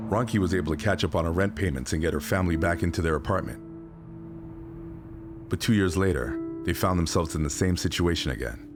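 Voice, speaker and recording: A man's voice: low voice, a little fast, music in the background. A man reading scripted documentary narration for a sports feature.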